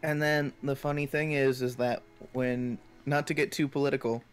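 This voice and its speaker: A man's voice: medium pitch, level tone, normal speed, clean tone, soft background music. An American man co-hosting a casual chat stream, talking in a conversational, hedging way. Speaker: stream co-host.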